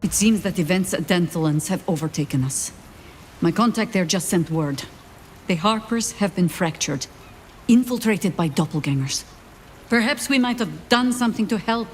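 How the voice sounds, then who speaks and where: A woman playing an older, world-weary warrior leader, with a measured, dry, sardonic manner in a fantasy game drama. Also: medium pitch, a little hiss or hum, lightly acted. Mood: wry, confessional. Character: wry, world-weary old female warrior, pragmatic leader.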